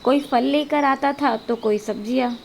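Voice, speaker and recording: Fairly high-voiced woman telling children's stories. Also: normal speed, gravelly, a little hiss or hum. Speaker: storyteller. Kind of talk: scripted children's story narration.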